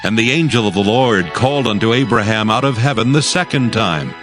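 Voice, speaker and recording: Deep-ish guy reading bible stories as narrator, solemn and measured, soft music behind. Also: animated. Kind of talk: scripted scripture narration.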